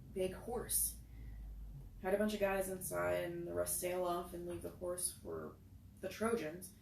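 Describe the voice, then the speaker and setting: A woman's voice: on the low side, gravelly, a little mellow. A woman voicing a thoughtful, bookish teenage boy who explains things. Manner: analytical, explaining. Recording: roomy echo, faint noise.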